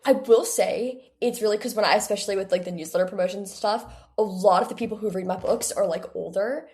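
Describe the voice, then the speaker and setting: A woman's voice: mid-range voice, brisk, darkish tone, soft background music. A woman in her late teens, a guest talking conversationally and earnestly as she explains things in an interview. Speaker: guest, young adult author.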